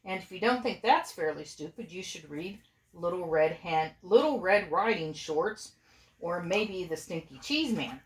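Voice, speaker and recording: Animated woman reading a storybook aloud. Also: fairly low pitch, a little slow. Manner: playful, expressive, breaks off for asides. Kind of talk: informal storybook reading to family. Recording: echoey room.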